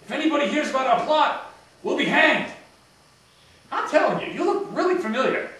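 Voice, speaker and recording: Comic puppet guard, man performing: high, grumbling, conspiratorial, in an echoey room with faint noise. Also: expressive, brisk, sharp tone, exaggerated voice. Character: disgruntled, bumbling male palace guard plotting against the king. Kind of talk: amateur puppet show acting for children. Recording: echoey room, distant mic, background noise.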